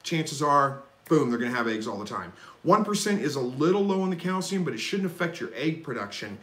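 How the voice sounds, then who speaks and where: A man hosting a live stream, reading viewer questions and answering in a relaxed, folksy, rambling way. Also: a little high, fairly quick, echoey room. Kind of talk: casual live chat Q&A.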